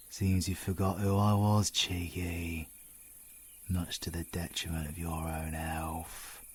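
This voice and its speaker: A man's voice: deep voice, slight background noise. A British man playing a cocky, sarcastic, menacing supervillain in an audio roleplay. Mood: cold, threatening. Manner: sarcastic, theatrical, foul-mouthed British slang. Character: cocky, taunting supervillain, flippant yet menacing killer. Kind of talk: ASMR audio roleplay acting.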